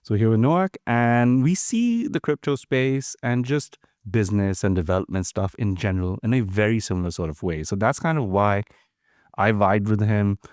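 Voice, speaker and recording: A man's voice: fairly low pitch, expressive, moderate pace, a little mellow, no room sound, clean studio sound. A man hosting a podcast, enthusiastic and friendly as he introduces and questions his guest. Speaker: podcast host. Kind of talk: casual interview chat.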